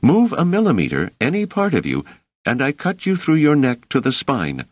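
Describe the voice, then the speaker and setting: A man's voice: mid-range voice, wildly swooping pitch, moderate pace, no room sound, dull audio, voice pushed up. A man voicing a fierce, grim woman who speaks in clipped, commanding threats in an audiobook. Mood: threatening.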